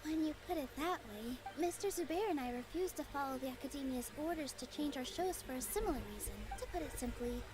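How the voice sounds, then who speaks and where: A woman playing a sweet, earnest and polite young dancer in a video game, gentle and sincere. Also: sits high, quick pace, few pauses, natural voice. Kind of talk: video game character acting.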